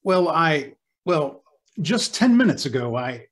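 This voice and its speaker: An earnest hospital doctor in charge, played by a man, straight out of an old-time radio drama. His slightly high voice moves in lively swings, and he stumbles and repeats himself, rattled. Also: takes it slowly. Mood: startled, flustered. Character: flustered, earnest male hospital superintendent, a doctor.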